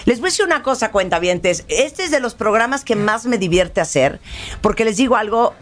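A woman's voice: a little high, expressive, a little fast. Chatty Mexican woman radio host. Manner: playful, chatty, teasing. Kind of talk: lively informal radio chat.

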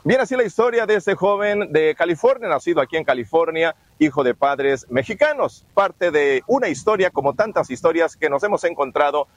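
A man reports on location from a bus station, speaking in a measured, formal news style. His voice sits a bit high. Faint traffic and street sounds hum behind him. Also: lively intonation. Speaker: field reporter. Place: outdoors at a bus and metro station.

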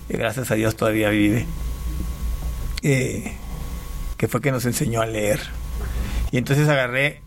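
A man from Panama, a pastor and guest, telling his faith story in conversation, halting with frequent restarts. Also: mid-range voice, fairly even intonation, gravelly, a little hiss or hum. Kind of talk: conversational testimony.

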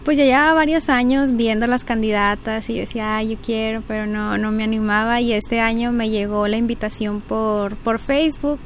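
A woman's voice: a little high, steady tone, moderate pace, few pauses, a little hiss or hum. Polite young woman, hesitant. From Mexico. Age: 20s. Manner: polite, a bit hesitant, frequent filler words. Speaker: pageant candidate. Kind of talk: interview answers.

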